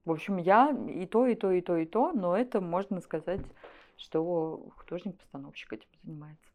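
A woman's voice: on the low side, fairly quick, warm tone. A woman speaking as a studio guest in an interview, explaining things conversationally with examples.

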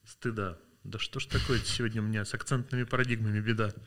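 A man's voice: fairly low pitch, fairly even intonation, fast talker, a bit bright, slight background noise. A man from Russia, a linguist guest on a podcast, talking thoughtfully with many hesitations and sounding tired. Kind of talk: podcast interview.

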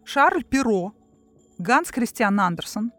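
A woman hosting a quiz show, presenting questions and explanations in a lively way. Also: a little high, slow, dry recording, soft background music.

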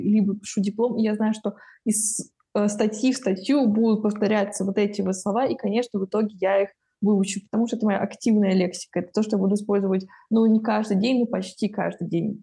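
A young woman in her twenties speaks in a warm, mellow voice. She talks things through thoughtfully, in a relaxed, explanatory podcast manner. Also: mid-range voice, quick pace. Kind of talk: casual podcast chat.

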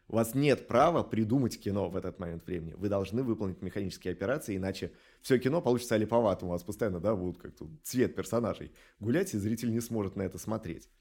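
A man speaking as a studio director in an interview, explaining things in a measured, articulate way with rhetorical emphasis. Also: fairly low pitch, creaky, darkish tone, breathy edge.

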